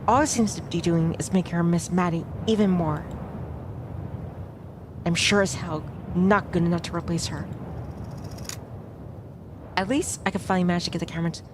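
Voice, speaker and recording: A woman's voice: lowish voice, steady tone, normal speed, long pauses, background noise, lightly acted. A woman playing a self-doubting, observant young heroine, narrating a visual novel in a glum, confessional first person. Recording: music clearly behind the voice.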